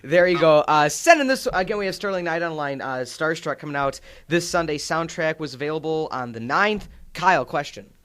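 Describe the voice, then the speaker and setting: A man hosting sports talk radio, upbeat and chatty with a slightly high voice. He laughs easily and keeps the interview rolling. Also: animated, a little fast, few pauses, a bit bright. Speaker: radio sports show host. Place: radio studio.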